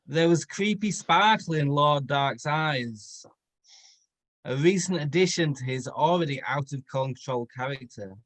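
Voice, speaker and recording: A man's voice: a little high, measured pace, a bit bright, dry recording. A man narrating a story aloud for comedy in a playfully dramatic way. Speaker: comedian reading fan fiction aloud.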